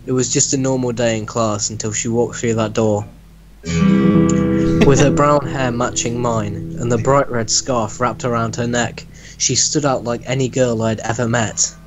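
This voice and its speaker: A man's voice: medium pitch, steady tone, unhurried, low-quality recording. A young man giving a mock-dramatic reading of a story among friends, savouring the text. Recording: loud acoustic guitar music behind voice. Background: acoustic guitar music.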